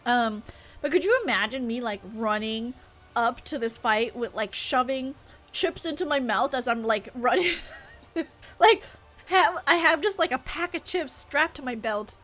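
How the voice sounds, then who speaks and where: High-voiced playful young woman streamer. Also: a little slow, a bit bright, faint music behind. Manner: playful, chatty, reacting aloud. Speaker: livestreamer. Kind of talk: casual gaming stream chat. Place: at home, live stream. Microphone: streaming microphone.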